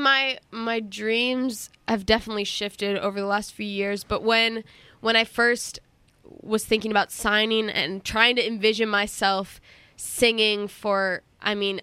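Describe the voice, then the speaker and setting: A woman's voice: on the high side, sharp tone, clear, resonant tone, no echo. A young woman, a singer, chatting casually in an interview, with lots of fillers, restarts and laughter.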